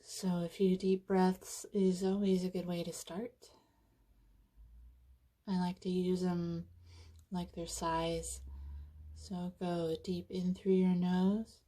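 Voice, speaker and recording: A woman leading a guided meditation in an informal, conversational way, with soothing, casual phrasing and frequent pauses. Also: lowish voice, flat delivery, warm tone.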